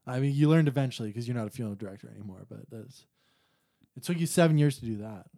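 A man's voice: medium pitch, fast, creaky, warm tone, no echo, studio quality. A Canadian man co-hosting a podcast, chatting casually and interjecting with wry teasing. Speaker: podcast co-host.

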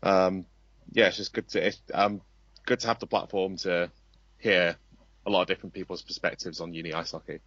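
Deep-voiced guy on a podcast, thoughtful, measured, dry kinda dull audio. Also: steady tone, a bit bright, no room sound. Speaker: podcast guest. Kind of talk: casual podcast chat.

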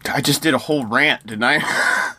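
A man's voice: medium pitch, moderate pace, pushed and bright. A man in casual podcast chat, ranting with sarcasm and many restarts. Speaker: podcast co-host.

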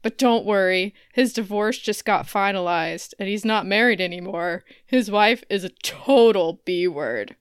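A woman's voice: medium pitch, a little slow, few pauses, clean tone, no room sound, close to an everyday voice. Woman playing a sulky, lovestruck teenage girl, close and dry. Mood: gushing, excited. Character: lovestruck sulky sixteen-year-old girl, defiant and naive. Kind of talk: parody table read of TV family drama.

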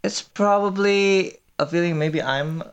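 A man's voice: high voice, measured pace, clean tone, dull audio. A man in his twenties from Indonesia, answering casually and tentatively as a student filmmaker in an interview. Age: 20s.

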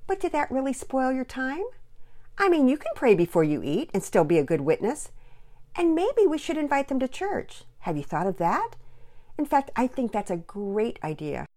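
A woman voicing a caring but firm mother in an audiobook story, measured and probing. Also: sits high, very animated, dark tone, voice pushed up. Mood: concerned, firm.

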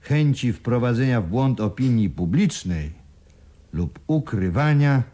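A man acting in a Polish radio play as an uneasy businessman, speaking in a formal, measured, articulate way. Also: medium pitch, expressive, dark tone. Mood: formal, official. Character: uneasy businessman reading an expert report aloud.

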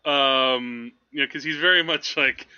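A man's voice: mid-range voice, unhurried, bright, forceful tone, clear, resonant tone, highs cut off. A man talking through films casually as a podcast host, in a rambling, conversational way. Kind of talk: casual film discussion.

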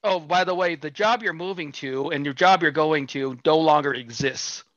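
Male podcast host, slightly high and bright, friendly teasing questions, dry dull recording. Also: normal speed, no echo. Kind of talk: casual interview questions.

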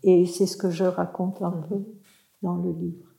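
A woman's voice: on the low side, little pitch movement, a little slow, vocal fry, mellow. A woman, a translator and author, talking reflectively as a guest on a panel and searching for her words. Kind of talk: panel conversation. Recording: roomy echo.

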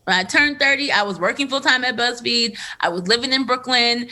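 Bright, animated woman in her thirties. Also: a little high, brisk. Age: 30s. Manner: animated, filler-heavy, laughs often. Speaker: podcast host. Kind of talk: candid conversational storytelling. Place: Los Angeles area.

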